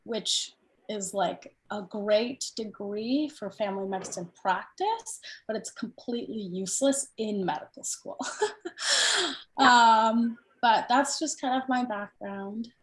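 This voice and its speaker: A woman's voice: medium pitch, takes it slowly, low-quality recording. A young woman medical student, originally from India, with a mid-range voice. She talks in a casual, hesitant way, full of "um" and "like," but stays upbeat throughout. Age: young adult. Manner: hesitant, filler-heavy, upbeat. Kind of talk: casual interview chat.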